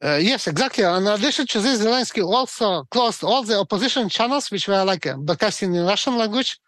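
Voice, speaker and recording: A middle-aged man from Ukraine with a Ukrainian accent, answering interview questions in a halting way with many fillers and restarts. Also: sits high, animated, a bit bright, no echo. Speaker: guest expert.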